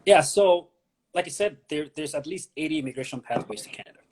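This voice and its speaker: A man's voice: a little high, lively intonation, brisk, darkish tone, a little hiss or hum. A man from Nigeria, an immigration consultant, explaining pathways as an interview guest, often repeating words as he thinks.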